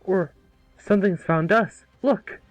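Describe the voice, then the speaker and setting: A man voicing an eager, excitable young boy who exclaims a lot, in an amateur table read of a cartoon. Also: high voice, slow, soft background music. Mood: alarmed.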